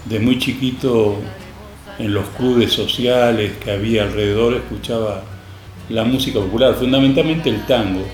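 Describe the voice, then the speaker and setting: An older man from Spain, an interview guest and record label founder, telling stories from his life in a reflective, unhurried way. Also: medium pitch, steady tone, a little mellow, reverberant. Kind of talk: storytelling interview.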